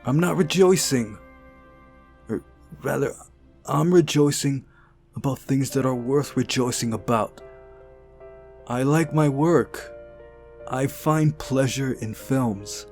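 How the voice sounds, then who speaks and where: A man's voice: medium pitch, takes it slowly, long pauses, a little mellow, voice pushed up. A man voicing an ordinary young man in a visual novel, defensive and hesitant in manner. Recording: music behind the voice.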